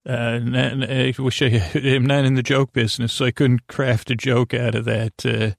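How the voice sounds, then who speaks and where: Male sleep podcast host: gravelly creak, dry sound, halting goofy meanders, self-deprecating and non-threatening. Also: medium pitch, little pitch movement, no room sound. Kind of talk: rambling bedtime sleep podcast monologue.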